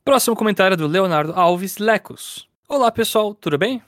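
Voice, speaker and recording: A man's voice: high voice, expressive, moderate pace, fairly bright tone, no room sound, clean studio sound. A man, co-hosting a casual game podcast and reading listener comments, laid-back and laughing often.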